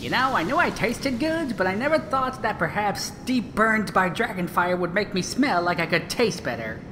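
A woman playing an excitable, chipper pony weather reporter in a comedic audio drama, chatty and theatrical with nervous hesitations. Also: medium pitch, normal speed, noisy background, natural voice. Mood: nervously chipper.

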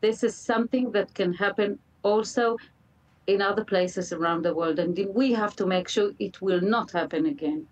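A woman's voice: medium pitch, darkish tone. Middle-aged Israeli woman, slightly distant mic. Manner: halting, earnest, repeats words for emphasis. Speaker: interview guest, mother of a hostage. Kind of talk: emotional live TV interview. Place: indoor room, live remote video link. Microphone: computer video call.

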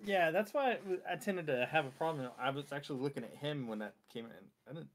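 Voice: medium pitch, fast. A livestream co-host chatting casually in an informal, sweary way.

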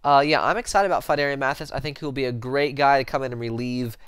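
A man's voice: mid-range voice, steady tone, quick pace, a bit bright, clear, resonant tone, no room sound, studio quality. A young man with a head cold and a slightly nasal voice, hosting casual football commentary on his channel in a rambling way full of fillers, recorded in a small room on a headset mic. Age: young adult. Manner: rambling, self-correcting, lots of fillers. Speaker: sports channel host. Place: small room in front of a sports-print backdrop.

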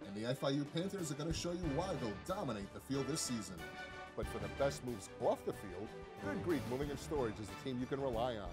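A man pitching a moving company in an energetic local TV ad. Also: fast talker, over loud music. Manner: energetic salesy delivery. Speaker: ad spokesman.

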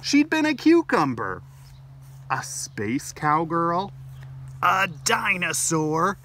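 A man's voice: on the high side, big pitch swings, slow pace, bright, forceful tone. A middle-aged man reading a picture book expressively to children as a storytime host, recorded outdoors. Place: outdoors in a garden. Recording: distant mic, faint outdoor noise.

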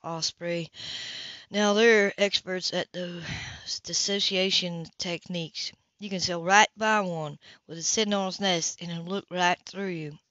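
A woman voicing an easygoing, flirtatious male sailor in an audiobook, relaxed and teasing. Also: lowish voice, measured pace, no room sound. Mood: calm, explaining. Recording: dull, lo-fi audio.

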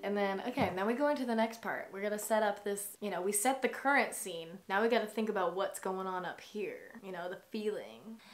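A young woman in her twenties with a naturally higher voice, thinking aloud in a relaxed chat full of fillers as she writes a song, with her acoustic guitar playing under her voice. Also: a little fast, few pauses. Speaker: singer-songwriter. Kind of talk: casual songwriting vlog, talking and singing. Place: small bedroom with string lights. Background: her own acoustic guitar playing.

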